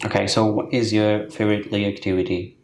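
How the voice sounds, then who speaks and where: A man acting as a speaking-test examiner. He asks slow, hesitant questions full of fillers and keeps humming along as the candidate answers. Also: lowish voice, level tone, darkish tone, a little hiss or hum. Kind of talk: mock exam questioning. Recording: echoey room.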